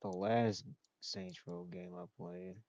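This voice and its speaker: A man's voice: sits low, very animated, slow, creaky, darkish tone, dry recording, muffled recording. A young man streaming a game, chatting casually, rambling, talking to himself, and swearing often. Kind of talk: casual gaming stream chat.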